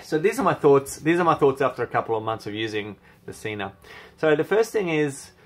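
A man's voice: medium pitch, sing-song, fairly quick. Chatty man rambling through a review. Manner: chatty, rambling, many restarts and fillers, candid. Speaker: motorcycle vlogger reviewing gear. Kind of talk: casual product review to camera. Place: small home room with bookshelves, indoors.

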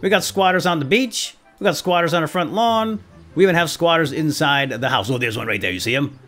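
A man hosting gameplay with excitable role-play commentary, playful and given to exclamations and quick bursts of repeated words. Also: on the high side, soft background music. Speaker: gaming video host.

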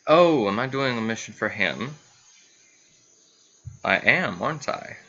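A man's voice: medium pitch, expressive, a little slow, sharp tone, dull audio. A man giving casual let's play commentary, rambling and repeating himself as he thinks aloud, as host of a gaming channel. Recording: faint background noise.

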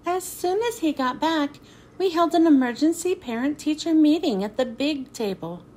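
A woman reading a children's picture book aloud in an expressive storytelling manner, then thanking her listeners. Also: sits high, moderate pace, a little hiss or hum.

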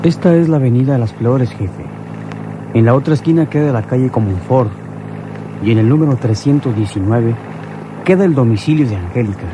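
An actor in a radionovela playing an obedient, brisk driver reporting to his boss. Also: medium pitch, lightly acted. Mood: brisk, dutiful. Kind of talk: old radionovela acting. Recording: radio drama with loud engine noise.

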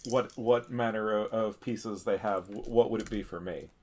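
A man chatting casually and haltingly as a player in a tabletop game. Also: on the low side, steady tone, a little mellow.

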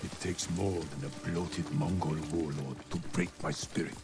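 An actor playing a proud, defiant older samurai lord in a video game, speaking in a formal, measured, commanding way. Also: male voice, very deep voice, fairly even intonation. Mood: proud defiance. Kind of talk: dramatic video game acting. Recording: loud music under the voice.

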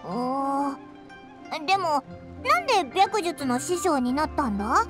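A woman in a Japanese anime game voicing a tiny, childlike companion sprite, squeaky, blunt and inquisitive. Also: very animated, measured pace. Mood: curious. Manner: blunt boyish phrasing, inquisitive. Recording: music playing behind the voice.

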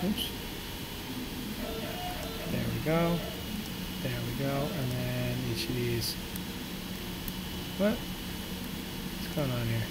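A man's voice: mid-range voice, very slow, long pauses, very noisy. A young man thinking aloud with many fillers while he works on a live stream from a small room at home. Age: young adult. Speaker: streamer modeling a prop. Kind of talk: casual live-stream commentary while working.

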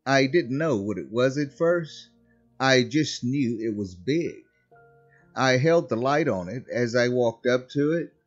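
A man's voice: medium pitch, lo-fi sound. A man narrating a scripted first-person horror story as a storyteller. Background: background music.

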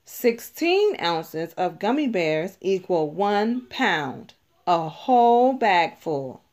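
A woman narrates a children's picture book in a mid-pitched voice. Her delivery is unhurried and instructional, with very varied intonation. She places clear emphasis on the important words. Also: big pitch swings, a little mellow. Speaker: read-aloud narrator.

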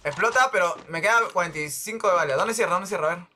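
A young man on a headset, excitedly calling out plays while gaming with a teammate, repeating words rapidly. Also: sits high, slight background noise. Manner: rapid repeated callouts, cheering. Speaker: gaming streamer. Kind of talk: excited co-op gameplay commentary. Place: indoors at a computer.

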